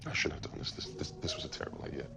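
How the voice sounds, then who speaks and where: A man's voice: rapid-fire, natural voice. An actor playing a tense, evasive husband and new father, clipped and insistent, in naturalistic screen drama. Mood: regretful, tense. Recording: noisy location sound.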